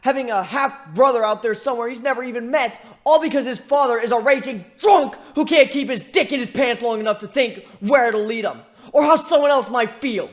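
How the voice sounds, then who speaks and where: A man's voice: very high-pitched, fairly quick, hardly any pauses, voice pushed up. A man voicing an awkward, insecure male griffin in a dramatic story reading, emotional and sarcastic. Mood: bitter, angry.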